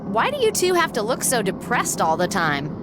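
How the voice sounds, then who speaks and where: A woman's voice: fairly high pitch, huge pitch swings, normal speed, background noise. A woman playing a confident, teasing, no-nonsense young woman in a video game, frank and casual in manner. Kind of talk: animated video game voice acting.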